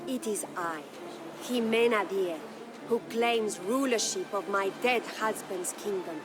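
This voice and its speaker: A woman's voice: medium pitch, a little slow, background noise, lightly acted. An actress playing a proud, grieving medieval Spanish noblewoman who narrates her story in a solemn, stately, formal way for a video game. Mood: proud, resolute. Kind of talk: dramatic scripted storytelling. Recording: studio narration over prominent music.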